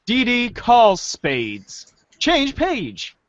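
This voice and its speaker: Man reader, high-pitched and very animated, theatrical script delivery, slightly dull dry podcast recording. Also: extremely slow, no room sound. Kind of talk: theatrical read-aloud of a webcomic script.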